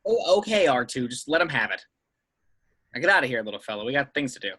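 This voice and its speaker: An earnest, impatient young hero played by a man in an amateur sci-fi read. He talks fast in a bright, lively, casual voice. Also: mid-range voice, slightly rough. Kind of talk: amateur script table read.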